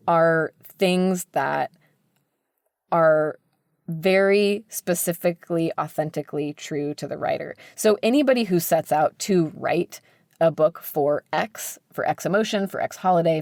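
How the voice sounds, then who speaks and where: A woman's voice: sits low, unhurried, darkish tone, no room sound, clean studio sound. An American woman speaking candidly and emphatically as an editor being interviewed on a podcast, peppering her talk with "like". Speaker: book editor, podcast guest.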